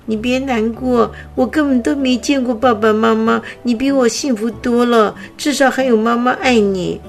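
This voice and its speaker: A woman voicing a small, sickly grey butterfly in a storybook, with a high, childlike, breathless voice. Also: moderate pace, gravelly, soft background music. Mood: gentle, chirpy. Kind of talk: storybook character voice.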